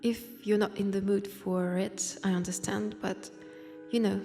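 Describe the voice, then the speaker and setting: Slow, French-accented vampire woman played by an actress. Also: medium pitch, steady tone, gravelly, warm tone, faint music behind. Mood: tender, nostalgic. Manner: hesitant, sighing, drifting into near-whispers. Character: centuries-old female vampire in a convent, wistful, wry. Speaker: roleplay audio performer. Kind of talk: intimate audio roleplay monologue. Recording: long church-like reverb.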